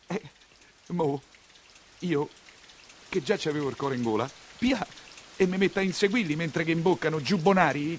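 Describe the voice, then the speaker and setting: An actor playing an excitable, humble nineteenth-century coachman from Rome, telling his story in a theatrical dialect monologue with a Roman accent. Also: fairly high pitch, a little hiss or hum, lightly acted. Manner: earthy, emotional, colloquial storytelling.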